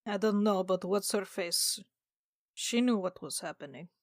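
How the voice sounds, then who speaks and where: A woman's voice: medium pitch, a little slow, no echo, studio quality, natural voice. A woman voicing an observant, terse male adventurer in a tabletop game, matter-of-fact in manner. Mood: insistent.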